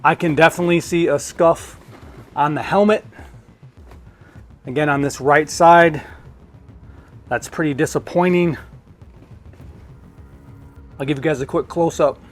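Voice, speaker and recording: A man's voice: a little high, a little slow, long pauses. Casual male product reviewer over background music. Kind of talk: casual hands-on unboxing review.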